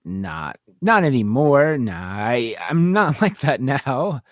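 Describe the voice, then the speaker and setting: A man's voice: mid-range voice, sing-song, takes it slowly, dry recording, clean studio sound. A man playing an earnest, boyishly romantic starship captain who stammers sheepishly in a comedic sci-fi radio play. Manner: stammering, throat-clearing, sheepish.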